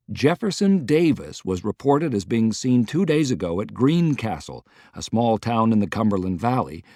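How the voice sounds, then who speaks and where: A man's voice: fairly low pitch, darkish tone, no echo, studio quality. A man reading a historical novel as scripted, dramatic audiobook narration.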